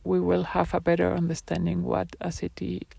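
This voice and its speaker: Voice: mid-range voice, completely flat delivery, moderate pace, gravelly, darkish tone, no room sound. A person presenting their own design projects in a live talk, speaking hesitantly with many fillers and restarts.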